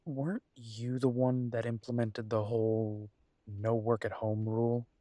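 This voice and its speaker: A man's voice: medium pitch, little pitch movement, a little slow, mellow, no echo, natural voice. A man voicing a grumbling but affectionate werewolf detective in an intimate audio roleplay, wry and fondly teasing. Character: gruff, affectionate male werewolf detective, grumbling city dweller.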